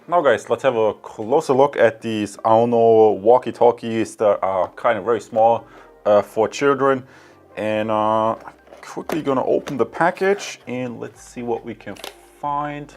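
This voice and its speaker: Halting male gadget reviewer over music. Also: mid-range voice. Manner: halting, with frequent hesitations and self-corrections. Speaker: tech product reviewer and presenter. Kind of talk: casual unboxing and review talk to viewers.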